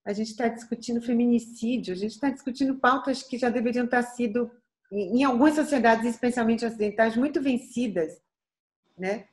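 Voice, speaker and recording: A middle-aged Brazilian singer from Bahia with a light Bahian accent and a soft voice, speaking earnestly and reflectively in an interview, with frequent restarts. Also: female voice, a little high, moderate pace. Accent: Bahian Portuguese. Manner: thoughtful, earnest, frequent restarts and tag questions.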